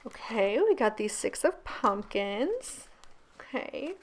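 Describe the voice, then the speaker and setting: A woman with a croaky, gravelly voice giving a casual pick-a-card tarot reading, chatty and laughing often. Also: on the high side, sing-song, takes it slowly, a little hiss or hum. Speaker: tarot reader and channel host.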